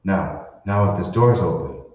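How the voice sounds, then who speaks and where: A man's voice: sits low, unhurried, highs cut off. A man playing a stubborn, desperate everyman hero in an old-time radio drama, speaking with urgency. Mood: urgent. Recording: echoey hall, distant, noisy.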